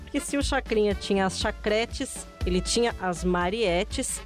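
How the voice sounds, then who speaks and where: A woman hosting a program segment who smoothly introduces a guest's recorded memories. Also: mid-range voice, a little slow, faint music behind. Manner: smooth presenter, introduces clips. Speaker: radio host.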